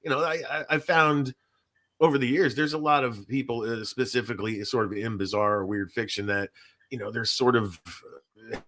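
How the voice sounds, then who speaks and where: A man's voice: medium pitch, moderate pace, a bit bright. A man hosting a casual podcast, talking in a rambling way that trails off mid-thought. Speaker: podcast host.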